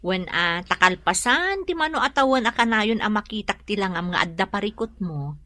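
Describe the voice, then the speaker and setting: An actress with an Ilocano accent playing a frank, supportive best friend in a radio drama, giving emphatic advice. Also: female voice, mid-range voice, lively intonation, moderate pace, pushed and bright, no echo. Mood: glad, curious. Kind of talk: Filipino radio drama dialogue acting.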